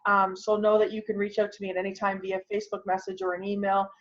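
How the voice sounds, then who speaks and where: A woman's voice: medium pitch, level tone, quick pace. Casting: female online instructor, mid-range voice, informal and conversational, heard through a dull lo-fi video call. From Canada. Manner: conversational, thinking aloud as she demonstrates. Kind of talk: informal tutorial with screen sharing. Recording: muffled, lo-fi video call audio.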